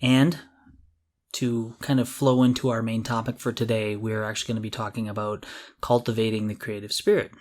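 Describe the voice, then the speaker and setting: A man's voice: fairly low pitch, level tone, brisk, a little mellow. A middle-aged man co-hosting a podcast in casual chat, with a jokey, self-deprecating way of talking. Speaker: podcast co-host.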